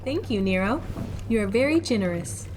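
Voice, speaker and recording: A woman's voice: mid-range voice, expressive, moderate pace, background noise, close to an everyday voice. A woman voicing a polite, helpful female android companion who speaks in formal, precise phrases. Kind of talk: audio drama acting.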